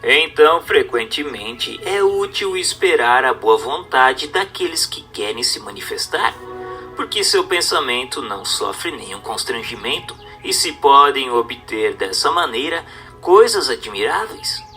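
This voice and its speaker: A man reads a book as scripted narration, in a formal, didactic manner with measured emphasis. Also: mid-range voice, big pitch swings, pushed and bright, soft background music. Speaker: audiobook narrator.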